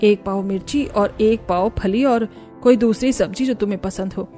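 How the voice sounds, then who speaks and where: A woman voicing a middle-aged Indian mother-in-law, measured and set in her ways, in a cartoon story. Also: mid-range voice, dark tone, faint music behind, lightly acted. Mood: absent-minded. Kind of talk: Hindi cartoon story dialogue.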